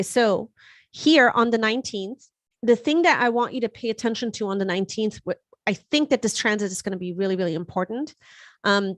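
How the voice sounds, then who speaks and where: A woman's voice: fairly high pitch, a bit bright, dry recording, studio quality. A woman from Germany whose first language is German, hosting a live astrology forecast in a conversational way with frequent fillers and restarts. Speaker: astrologer host.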